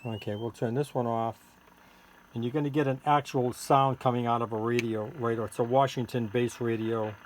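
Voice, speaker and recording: A man giving a casual hands-on product demonstration as a seller, halting and thinking aloud while he works the controls. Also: medium pitch, level tone. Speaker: seller demonstrating a homemade electronics gadget. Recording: clearly noisy, with electronic tweets and siren-like tones.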